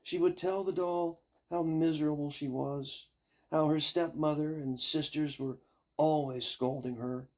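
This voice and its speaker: An older man reading a fairy tale aloud as a storyteller, with expressive, deliberate, dramatic phrasing. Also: a little high.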